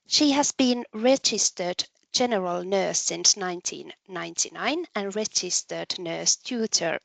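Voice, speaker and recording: A woman hosts a webinar and introduces the guest speaker over a video call. Her mid-pitched voice has a gravelly fry, and the sound is dry and close to the mic. She reads in a measured, careful way with occasional ums. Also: dry recording, low-quality recording. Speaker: webinar host, moderator. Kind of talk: formal introduction.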